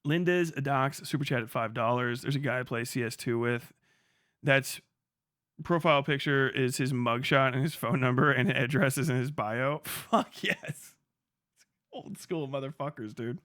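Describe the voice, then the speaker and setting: A young man talks loosely through a long livestream, reading chat, cursing and chuckling between thoughts. His voice sits at an ordinary middle pitch. The sound is close and dry, with no room around it. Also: moderate pace, fairly bright tone, dry recording. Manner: rambling, profane, laughs often.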